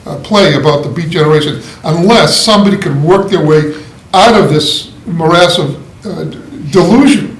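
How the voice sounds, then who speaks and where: A man's voice: a little high, normal speed. Blunt, opinionated man in a roomy room. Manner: blunt and opinionated, laughing at his own remarks. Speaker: writing group member. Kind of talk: casual group critique. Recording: roomy room with faint noise.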